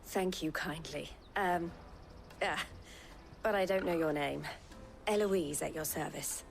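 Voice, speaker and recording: A shrewd merchant woman in a fantasy game. Her voice is fairly low, measured and articulate, with a formal, dryly teasing turn. Soft music plays behind her. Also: creaky, heavily acted. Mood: courteous. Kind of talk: scripted fantasy game dialogue.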